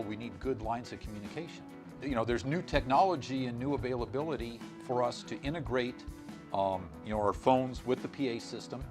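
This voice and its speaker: A middle-aged man, a school administrator, giving informal interview answers and searching for his words. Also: medium pitch. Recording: music playing under the voice. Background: guitar music.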